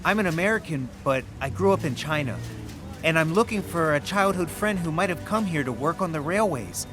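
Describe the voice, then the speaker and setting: Earnest, loyal young searcher , a man acting it, animated and a touch high, over a music bed. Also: fairly quick, natural voice. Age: young adult. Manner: earnest and emotional, rising to pleading. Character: earnest young American raised in China, loyal and emotional. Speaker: American searching for a friend. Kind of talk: audio drama acting. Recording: music playing underneath.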